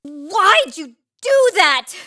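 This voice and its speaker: A woman's voice: very high voice, big pitch swings, very slow, sharp tone, no room sound, exaggerated voice. A woman playing a determined young female trainee who tells her own story in an audio drama, in scripted first person. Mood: indignant, pained. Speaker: narrator and lead character of an audio drama. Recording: studio voice over prominent music.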